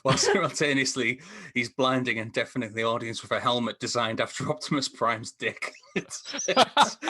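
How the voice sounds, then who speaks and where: A man on a podcast video call casually discussing films in a halting, enthusiastic way. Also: medium pitch, very animated, background noise. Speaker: podcast reviewer.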